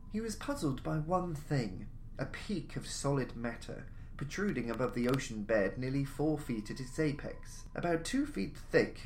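Guy reading a story, formal and theatrical, mid voice, some ambient noise behind. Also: medium pitch. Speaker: narrator, audiobook-style podcast reader. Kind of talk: dramatic scripted story narration. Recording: noticeable background noise, jungle-like ambience.

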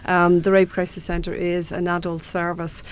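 A woman's voice: on the low side, monotone, normal speed. A woman speaking as a guest from a support service in a live panel discussion. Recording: some background noise.

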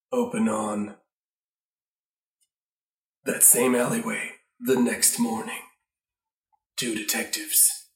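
A grave radio mystery narrator, played by a man, low and very monotone. He takes his time, in an echoey room. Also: creaky, distant mic. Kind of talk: improvised radio play narration.

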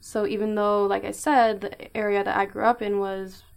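Young canadian woman w/ vocal fry, chatty podcast storytelling, pretty reflective. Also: medium pitch, level tone, moderate pace. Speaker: podcast co-host. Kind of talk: casual chat.

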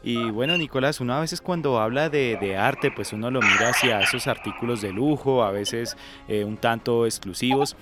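Male interviewer over loud music. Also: medium pitch, moderate pace. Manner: affirming, formal sign-off. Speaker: interviewer, web show host. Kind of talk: interview hosting.

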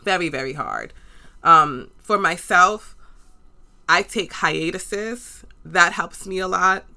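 A woman's voice: on the low side, big pitch swings, takes it slowly, vocal fry, pushed and bright. A woman with a New York accent hosting a podcast, talking earnestly and encouragingly and repeating words for emphasis. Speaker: podcast host. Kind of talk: motivational podcast monologue.

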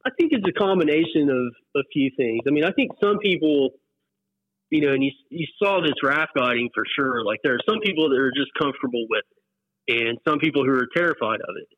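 A man's voice: on the high side, animated, fairly quick, no echo. American man on a muffled phone-like line. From West Virginia, US. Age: 40s. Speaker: interview guest, whitewater paddler. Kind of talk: casual chat, reminiscing.

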